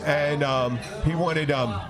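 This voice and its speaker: A man hosting a casual comic chat, telling stories in a halting, rambling, excitable way. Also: a little high, over loud music. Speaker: podcast host and bartender.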